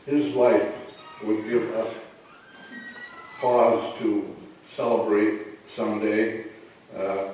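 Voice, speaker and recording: A man presenting and introducing a program, giving measured explanations. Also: fairly low pitch, fairly even intonation, mellow, a little hiss or hum. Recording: echoey room, distant mic.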